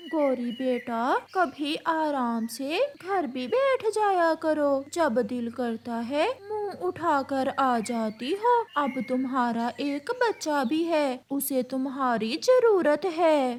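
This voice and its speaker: A woman's voice: high voice, expressive, slow pace, hardly any pauses, a little hiss or hum. A woman voicing a nagging, gossipy older mother crow in a cartoon story, in a scolding, weary manner. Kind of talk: Hindi cartoon story dialogue.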